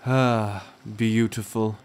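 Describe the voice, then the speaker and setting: A man playing a confident, affectionate merman prince in a gentle, teasing, courtly manner for an ASMR roleplay. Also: lowish voice, steady tone, drawn-out words, clean tone. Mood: playful, fond. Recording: music under the voice.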